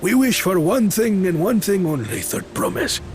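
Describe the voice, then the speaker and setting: A man's voice: high-pitched, big pitch swings, normal speed, a little hiss or hum. An earnest townsman pleading for peace. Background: soft game music.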